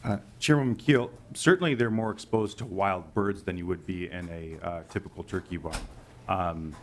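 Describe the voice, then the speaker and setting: A man from Minnesota, an agriculture official, testifying formally to a committee in a halting way. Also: deep voice. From Stearns County, Minnesota. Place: legislative committee hearing room. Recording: clear background room noise.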